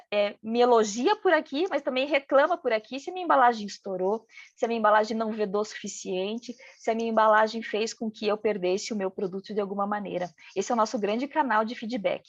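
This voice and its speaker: A woman with a regional Brazilian accent teaches a class, speaking as a business owner and tagging her points with "né?". Her voice sits a little high, and the dry recording sounds slightly dull and lo-fi. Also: no echo. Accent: regional Brazilian Portuguese. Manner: talks in first person as a business owner, many "né" tags. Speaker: packaging design instructor. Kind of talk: online training lecture.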